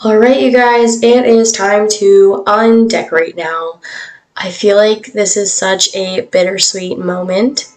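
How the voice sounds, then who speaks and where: A woman's voice: medium pitch, fairly even intonation, slow pace, darkish tone, poor audio. A woman vlogger chatting through a casual voiceover for a cleaning video, her voice a little off from a cold she's getting over. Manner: chatty and upbeat, with frequent "um"s. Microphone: voiceover mic, recorded apart from the footage.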